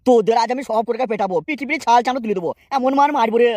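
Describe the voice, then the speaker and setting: A man's voice: very high-pitched, racing, a little mellow, a little hiss or hum. An actor voicing a strict, hot-tempered male schoolteacher in a cartoon, scolding and threatening his pupils. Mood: angry. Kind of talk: cartoon voice acting.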